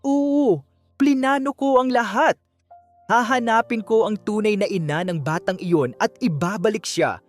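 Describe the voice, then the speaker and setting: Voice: medium pitch, measured pace, faint music behind, pitched above the performer's own voice, lightly acted. A narrator voicing a haughty, stern older rich mother, commanding and threatening, in an audiobook love drama. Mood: cold resolve.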